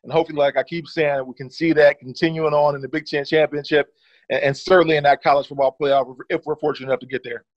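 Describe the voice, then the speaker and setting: A man's voice: fairly high pitch, fast talker, no echo. A man in his thirties, a former running back, casually breaking down game film as a guest, often saying "certainly.". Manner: relaxed, leans on "certainly" and "uh". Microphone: video call. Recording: dull dry video-call audio.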